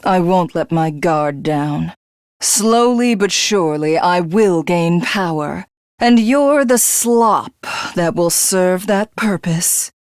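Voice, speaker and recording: A haughty alien warrior woman from a video game, who hungers for godhood. She speaks slowly and grandly in a theatrical villain's manner, recorded very dry and close. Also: on the low side, dry recording. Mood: gloating, calculating. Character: arrogant, power-hungry alien warrior woman craving godhood. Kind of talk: video game character voice acting.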